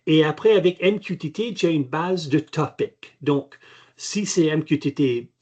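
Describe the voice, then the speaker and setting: A man, a native French speaker, answering technical questions on a webinar in a halting way with many restarts. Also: on the high side, darkish tone, husky. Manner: halting, frequent restarts and fillers. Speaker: company chairman, guest expert. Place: video call.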